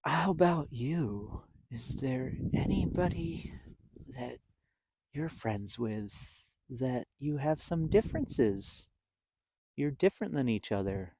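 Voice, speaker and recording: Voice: medium pitch, lively intonation, takes it slowly, dark tone, husky, rough recording. A storytime host reading and chatting to young children in simple words, with questions and explanations.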